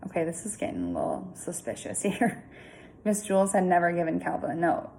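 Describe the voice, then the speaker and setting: A woman reading a children's story aloud in an informal, chatty, expressive way with casual asides. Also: on the low side, a little fast, gravelly, slight background noise, rough recording.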